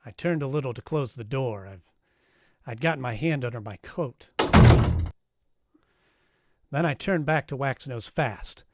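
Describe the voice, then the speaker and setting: An actor playing a tough, wry private detective in a 1940s-style radio drama, narrating and trading lines. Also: male voice, mid-range voice, expressive, unhurried, long pauses, heavy background noise. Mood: wry narration.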